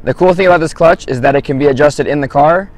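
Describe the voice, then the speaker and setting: A young man giving a clear, steady, scripted product tutorial as a voice-over. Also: a little high, quick pace, heavy background noise. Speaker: product demonstrator.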